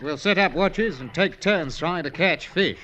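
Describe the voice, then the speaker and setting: An actor playing a steady, blunt, practical castaway who takes charge, in an old radio drama. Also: male voice, on the high side, unhurried, a little hiss or hum. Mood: firm. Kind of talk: 1940s-50s radio drama acting.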